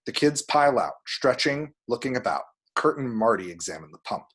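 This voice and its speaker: A man's voice: medium pitch, very animated, a little fast, fairly bright tone. A man reading screenplay action and scene headings as narration for an amateur table read. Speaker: reader of screenplay action description.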